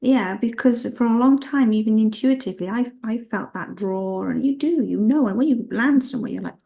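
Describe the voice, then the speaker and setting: Woman interviewer, dark mellow tone with fry, chatty and affirming. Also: a little high, fairly quick. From United Kingdom. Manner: warm, affirming, rambling questions. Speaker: host. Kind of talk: casual interview.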